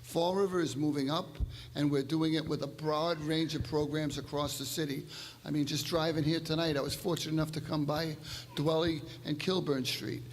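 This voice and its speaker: An older man, the incumbent mayor, giving a steady and measured candidate speech at a forum. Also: a little high, slight background noise. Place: church hall forum. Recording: long hall reverb.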